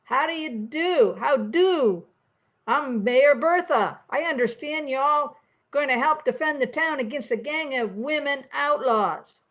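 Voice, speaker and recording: An older woman playing a brisk, demanding small-town mayor in an amateur radio western comedy. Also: sits high, fairly bright tone, lo-fi sound. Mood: businesslike. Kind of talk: amateur old-time radio comedy acting.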